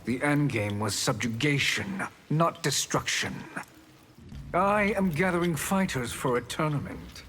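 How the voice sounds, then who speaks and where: A man's voice: on the high side, measured pace, fairly bright tone, slight background noise. A man playing a sly, scheming sorcerer in a video game, speaking in a smug, silky, theatrical manner. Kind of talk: video game fight dialogue.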